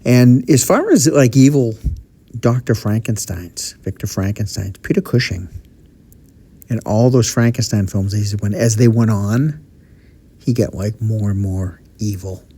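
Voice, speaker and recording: A man's voice: on the low side, measured pace, warm tone, breathy edge. A man hosting a podcast, giving wry, opinionated commentary and teasing his co-host.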